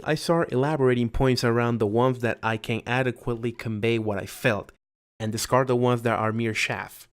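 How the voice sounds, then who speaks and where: A man reading his own scripted voiceover in a measured, formal way, close to a USB condenser mic at home. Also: medium pitch. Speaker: video creator.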